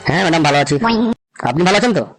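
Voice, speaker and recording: A man's voice: on the high side, lively intonation, racing, soft background music, close to an everyday voice. A man voicing a cheeky schoolboy prankster in a comic cartoon, rambling through silly answers and calling the teacher madam.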